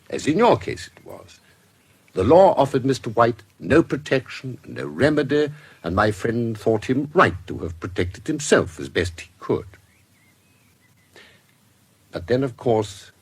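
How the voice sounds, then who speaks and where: A shrewd British investigator in a radio drama, laying out a case with formal precision. His voice is low and rough-edged, and it rises and falls widely as he builds each deliberate point. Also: heavily acted. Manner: formal, measured, deliberate storytelling. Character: shrewd, composed male investigator recounting a case, precise and discreet.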